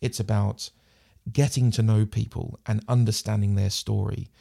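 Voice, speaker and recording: Kiwi guy on a podcast, deep gravelly voice, dark and mellow, keeps restarting his sentences. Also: level tone, moderate pace. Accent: New Zealand. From New Zealand. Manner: thoughtful, repeats words and restarts often. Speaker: podcast guest. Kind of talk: casual interview chat.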